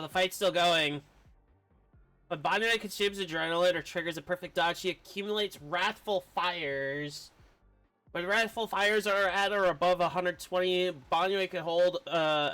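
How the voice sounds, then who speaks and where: A man's voice: sits high, unhurried, sharp tone. A man streaming a video game with casual commentary, reacting and reading along. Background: game music and sounds.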